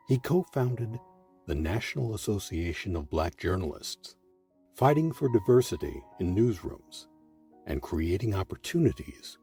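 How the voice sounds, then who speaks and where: A man delivers scripted documentary narration in a very low voice with lively, swooping intonation. His tone is mellow, and music plays faintly behind him. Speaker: host and narrator.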